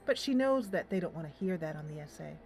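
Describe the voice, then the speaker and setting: A woman's voice: on the low side, lively intonation, fast talker, darkish tone, faint music behind. A woman hosting a casual let's play, thinking aloud in a playful, giggly way as she comments on the game. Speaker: host of a gaming channel.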